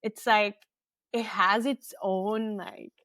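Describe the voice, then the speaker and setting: A woman's voice: medium pitch, steady tone, slow, fairly bright tone, no room sound, studio quality. A woman host whose first language is Spanish, talking reflectively and chattily in English in a casual conversation. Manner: reflective and chatty, many "like" fillers. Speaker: podcast host.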